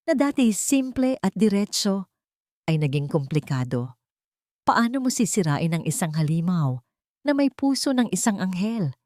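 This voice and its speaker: A woman's voice: fairly low pitch, darkish tone, no room sound, studio quality. A woman reading scripted dramatic narration as the storyteller of a romantic tale.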